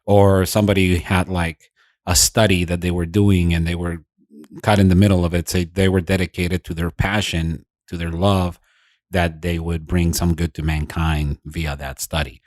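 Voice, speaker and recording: A man's voice: low-pitched, level tone, moderate pace, darkish tone, no echo, clean studio sound. A man co-hosting a podcast, explaining ideas in casual chat and repeating words as he thinks. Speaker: podcast co-host.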